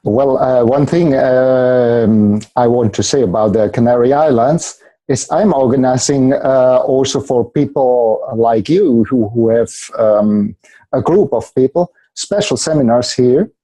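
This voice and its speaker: Male guest, warm dark tone, halting English, friendly. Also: mid-range voice, rough recording. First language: German. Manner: hesitant, repeats words, searches for English. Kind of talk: casual interview talk.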